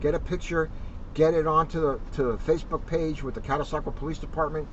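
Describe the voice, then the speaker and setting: A man's voice: steady tone, fast talker. A male police officer giving an informal, encouraging address to children and families, earnest and repeating phrases for emphasis. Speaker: police officer co-hosting a community message. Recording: distant, dull mic with clear rumbling noise.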